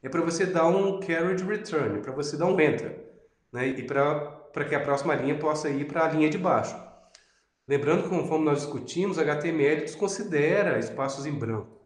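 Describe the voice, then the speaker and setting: A Brazilian man with a Minas Gerais accent teaching web programming in a relaxed lecture, often tagging sentences with "né?". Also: on the high side, moderate pace, reverberant. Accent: Minas Gerais Portuguese. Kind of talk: recorded lecture over slides.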